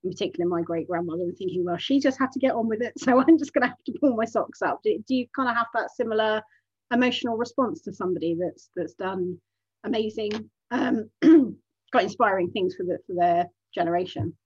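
A dull, slightly lo-fi recording of a British woman in her thirties. She interviews casually, with a husky edge to her voice and a quick, conversational delivery. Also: medium pitch.

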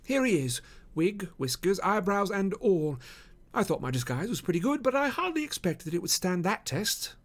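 A gentleman detective from a storybook, voiced by a male narrator. He speaks in a raised, precise voice, commanding and self-assured with quiet amusement underneath. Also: sits high, big pitch swings, normal speed, voice pushed up. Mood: amused.

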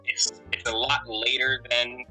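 A man's voice: mid-range voice, level tone, a little slow, bright, forceful tone, soft background music, rough recording. A man in his thirties from Connecticut, a guest telling stories in a casual, rambling way with many fillers. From Connecticut, USA. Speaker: interview guest, musician and photographer. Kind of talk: casual conversational storytelling.

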